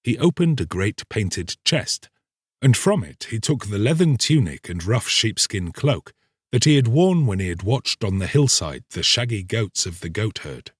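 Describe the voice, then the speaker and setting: Guy reading a classic fairy tale audiobook, measured, really varied pitch, super dry sound. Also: big pitch swings, husky, dry recording. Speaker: audiobook narrator. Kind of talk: scripted literary storytelling.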